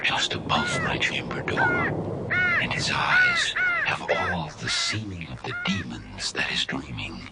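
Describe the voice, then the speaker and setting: An actor playing a haunted, tormented Gothic horror narrator, reciting dramatically and solemnly in an audio drama. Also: male voice, rumbling low, wildly swooping pitch, very noisy, exaggerated voice. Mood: brooding. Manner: slow, solemn, swelling into frenzy. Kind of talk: theatrical recitation of Gothic poems and tales. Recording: loud music under the voice.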